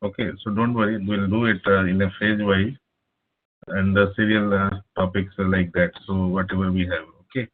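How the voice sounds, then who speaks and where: A man teaching a live online programming class over a speakerphone, pausing often with "uh". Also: deep voice, little pitch movement. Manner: halting, many filler "uh"s. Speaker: programming instructor. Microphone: laptop speakerphone, no headset. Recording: tinny phone-like line.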